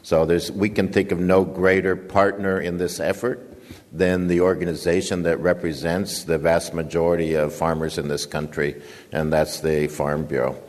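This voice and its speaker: Older guy speaking at a conference, deep flat voice, lots of uh and um, echoey hall. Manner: booming, halting with many fillers. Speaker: guest speaker, nonprofit founder and director. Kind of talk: conference speech.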